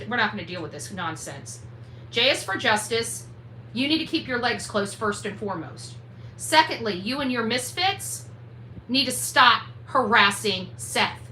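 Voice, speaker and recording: A woman's voice: fairly high pitch, sharp tone. A woman hosting a livestream rant in an indignant, combative, emphatic way, repeating herself for force. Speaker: livestream host. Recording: roomy echo, faint background noise.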